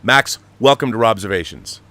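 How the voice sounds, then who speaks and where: A man with a fairly low voice hosts a live talk show. He talks in an opinionated, emphatic way, driving his points home with rhetorical questions. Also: moderate pace, pushed and bright. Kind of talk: opinionated live commentary and interviewing.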